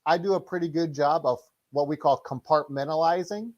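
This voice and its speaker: A middle-aged man speaking casually as an interview guest and martial arts instructor, rambling and halting as he explains things. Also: on the high side, muffled recording.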